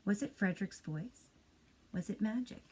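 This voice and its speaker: Husky woman reading a bedtime story. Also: mid-range voice, lively intonation, moderate pace, dark tone.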